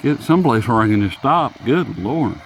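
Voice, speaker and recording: A man's voice: medium pitch, animated, normal speed, faint music behind, rough recording. A man hosting a casual, unscripted radio review in a folksy, jokey, rambling manner. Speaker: host of radio review videos.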